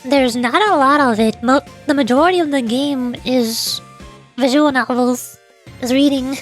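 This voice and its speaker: Female game streamer, high voice, casual off-the-cuff chat, music faintly behind. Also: unhurried. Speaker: vtuber streamer.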